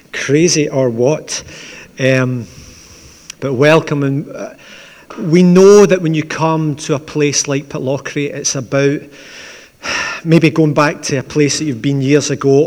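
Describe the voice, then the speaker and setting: A man with a Glaswegian accent, preaching as the pastor of a church in a conversational, gently humorous way. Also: a little high. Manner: conversational, with asides and dry humour. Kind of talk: sermon with opening prayer. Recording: long church reverb.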